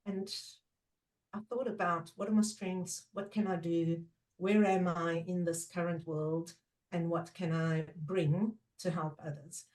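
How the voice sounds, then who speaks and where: A middle-aged woman whose first language is Portuguese, a guest speaking in a thoughtful, measured way with frequent pauses. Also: fairly low pitch, steady tone, darkish tone, low-quality recording. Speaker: guest, trainer and former teacher. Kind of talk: reflective podcast conversation.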